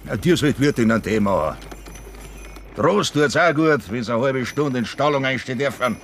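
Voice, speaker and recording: A gravelly, gruff Bavarian sleigh driver. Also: male voice, medium pitch, lively intonation, moderate pace, a bit bright, a little hiss or hum. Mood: coaxing. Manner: gruff, blunt, grumbling. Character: gruff older Bavarian man driving a sleigh. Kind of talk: 1960s radio play acting.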